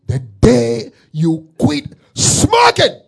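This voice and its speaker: A man from Ghana with a Ghanaian accent, a pastor calling himself a prophet, praying over people in a church service with slow drawn-out declarations that swell into loud shouts. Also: extremely high pitch, huge pitch swings, vocal fry, slightly rough. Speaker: pastor, self-styled prophet.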